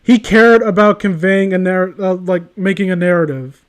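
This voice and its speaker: High-pitched man ranting casually, rambling and profane. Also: moderate pace. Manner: rambling, profane, exasperated opinionated talk.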